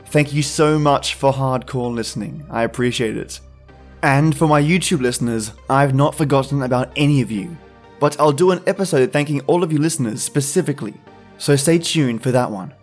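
A man hosting a podcast, speaking directly to his listeners in an outro style. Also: a little high. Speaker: podcast host. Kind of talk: scripted podcast outro with listener shout-outs. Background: music.

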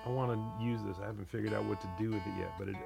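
A man with a fairly low, gravelly voice tells loose, wandering stories in a relaxed chat between musicians. He laughs easily and drifts from thought to thought. Guitar plays clearly behind him. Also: racing. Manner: relaxed, rambling storyteller with easy laughs. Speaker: guest musician. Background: guitar playing.

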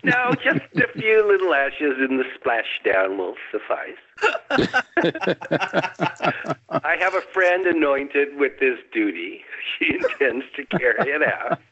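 A man's voice: fairly high pitch, sing-song, slow, pauses often, sharp tone, highs cut off. Chuckling male guest telling tales. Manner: chuckles while telling, dry humor. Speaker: guest author. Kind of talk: storytelling in a casual chat.

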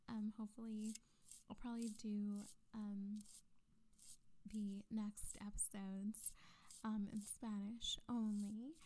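A woman hosting her own podcast, chatting casually with lots of fillers, giggles and soft half-whispered asides. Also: on the high side, rough recording. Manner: rambling, many fillers and giggles. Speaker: podcast host.

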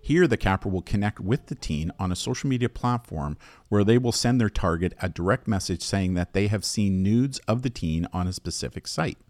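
A man hosting an educational safety podcast, reading a scripted explanation in a measured, explanatory manner. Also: fairly low pitch, lively intonation, a little mellow, no echo.

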